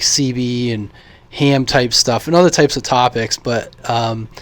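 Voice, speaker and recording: A man from the United States talking casually and unscripted as a radio hobby vlogger, halting and thinking aloud. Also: mid-range voice, fairly bright tone. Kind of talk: casual unscripted vlog talk.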